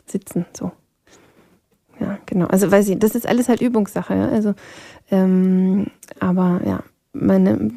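A woman from Vienna with Polish as her first language, with a gravelly, mellow voice and a fairly flat melody. She talks as a guest singer, pausing and hunting for the right word. The sound is dry and close. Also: medium pitch, no echo. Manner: thoughtful, pauses to search for words. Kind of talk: interview conversation.

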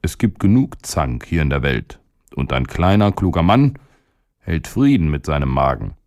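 A man voicing a talking stomach in a storybook reading, calm, patient and unhurried. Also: extremely low pitch, no echo, clean studio sound, full character voice.

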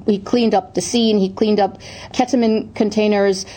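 A woman correspondent delivers a news report. Her voice is dark and mellow, and she speaks deliberately with occasional restarts. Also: medium pitch, fairly even intonation, rough recording.

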